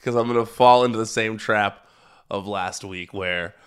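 A man's voice: on the low side, moderate pace, clean tone. An American man hosting a podcast, giving a casual, chatty recap with enthusiastic, emphatic delivery. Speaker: podcast host. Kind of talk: casual solo recap commentary on wrestling.

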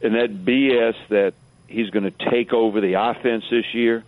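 A man's voice: fairly low pitch, steady tone, normal speed. A man giving blunt, emphatic sports commentary as a radio guest over a tinny phone-like line.

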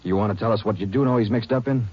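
Brisk, fast-talking lawman sidekick. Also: lowish voice, breathy edge. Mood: insistent. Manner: blunt, insistent questioning. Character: brisk, blunt Texas Ranger sidekick. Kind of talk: 1950s radio drama acting. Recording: muffled, faintly noisy old radio recording.

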